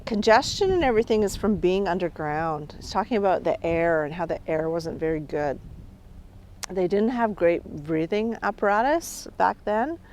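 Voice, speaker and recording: A middle-aged woman working as a spirit medium, talking calmly outdoors on an island and often trailing off quietly. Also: lowish voice, normal speed, a little hiss or hum. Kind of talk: on-location reality show narration.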